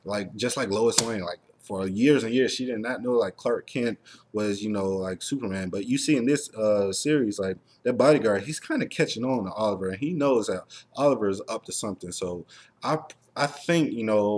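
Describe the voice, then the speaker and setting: Male host, lowish voice, rambling and enthusiastic, informal review discussion. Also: breathy edge. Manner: rambling, enthusiastic, filler-heavy.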